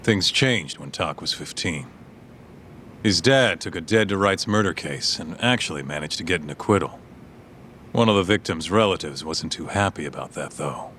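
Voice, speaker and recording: A man's voice: deep voice, expressive, normal speed, bright, forceful tone, slight background noise. An actor playing a streetwise, loyal tough guy in a video game, frank, somber and plain-spoken. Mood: somber, reflective. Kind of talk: dramatic game voice acting, storytelling.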